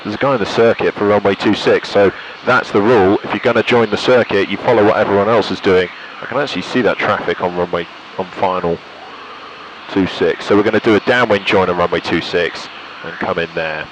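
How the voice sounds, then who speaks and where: A man's voice: lowish voice, fairly even intonation, fairly quick, noisy background. A British man giving a casual commentary from a light aircraft cockpit, thinking aloud and making radio calls into a headset. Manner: thinks aloud while concentrating. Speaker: pilot and vlogger.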